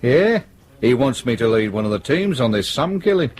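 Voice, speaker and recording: A man's voice: mid-range voice, big pitch swings, normal speed, darkish tone, lightly acted. A man acting in a radio drama as a cocky, ambitious police detective with a dry, casual way of talking. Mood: pleased, eager.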